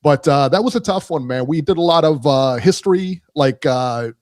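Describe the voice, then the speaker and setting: A man's voice: fairly high pitch, lively intonation, normal speed. A man appearing as a podcast guest, talking loosely about running his boxing channel, with lots of fillers and repeated phrases. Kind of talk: casual podcast chat.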